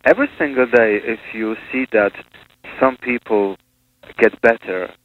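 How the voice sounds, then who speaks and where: A man's voice: medium pitch, measured pace. A man from Poland, a priest and missionary, speaking in a radio interview with many hesitations and restarts. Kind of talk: conversational interview. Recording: tinny phone-line radio with hiss.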